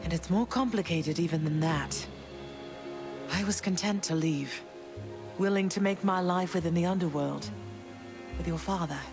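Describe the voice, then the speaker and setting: A woman's voice: fairly low pitch, music in the background, lightly acted. Actress as dignified, candid goddess mother. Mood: reflective, candid. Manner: measured, frank. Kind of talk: video game dialogue acting.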